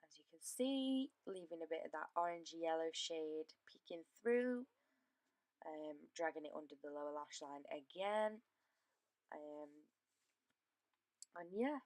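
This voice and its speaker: Slightly hoarse woman narrating makeup. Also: low-pitched, measured pace, long pauses, darkish tone, no echo. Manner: casual, chatty, with frequent fillers. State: slightly hoarse from a sore throat. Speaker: beauty vlogger. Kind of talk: makeup tutorial voice-over.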